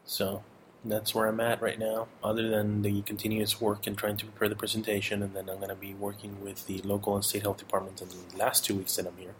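A middle-aged man from Mexico giving a casual personal update, relaxed and rambling, with fillers and self-corrections. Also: lowish voice, fairly quick, a little hiss or hum, poor audio. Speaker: audio blogger.

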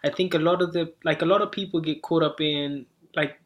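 A man's voice: a little high, steady tone, brisk. A man from Zimbabwe, a musician guest on a podcast, talking in an easygoing, friendly way and laughing often. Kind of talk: casual interview chat.

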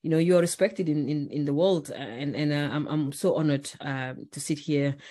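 Earnest woman, halting speech. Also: deep voice, steady tone, dark tone. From Senegal. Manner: earnest, heavy on fillers like "uh" and "you know". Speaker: guest. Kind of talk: podcast interview.

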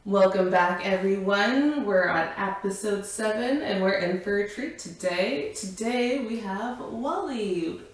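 A woman's voice: mid-range voice, slow pace, hardly any pauses. A woman co-hosting a podcast, leading a casual interview with upbeat, probing questions. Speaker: podcast co-host. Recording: very echoey room with background music.

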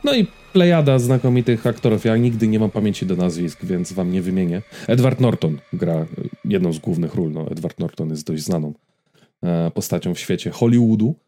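A man's voice: low voice, animated, a little fast, warm tone. A man giving a casual podcast-style film review as a co-host, searching for words and checking in with his listener.

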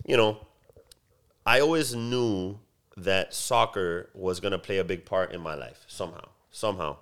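A young man with a deep voice, chatting loosely as a podcast guest with lots of fillers and restarts. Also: normal speed, a bit bright, clean tone. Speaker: podcast guest, soccer field owner. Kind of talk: casual podcast chat.

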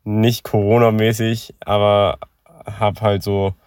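Low-voiced teen guy with a stuffy nose. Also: flat delivery, slow pace, a little mellow, clean tone, no echo. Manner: slangy, joking. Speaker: podcast co-host. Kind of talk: casual chat.

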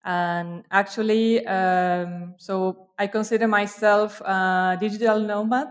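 A woman's voice: medium pitch, fairly even intonation, slow pace. A woman from Argentina, a guest in a casual interview over a video call, talking with frequent fillers and restarts. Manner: hesitant, many fillers and restarts.

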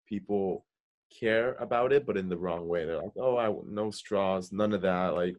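A man's voice: sits low, moderate pace, darkish tone, lo-fi sound. A man hosting a podcast, asking questions in a casual, hesitant way full of fillers. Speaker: podcast host.

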